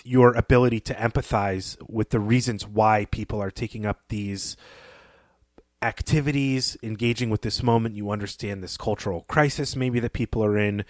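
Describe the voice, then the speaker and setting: A man's voice: mid-range voice, dry recording, studio quality. A man co-hosting a podcast, talking through a story in a thoughtful, analytical and articulate conversational way. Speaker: podcast co-host. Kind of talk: conversational literary discussion.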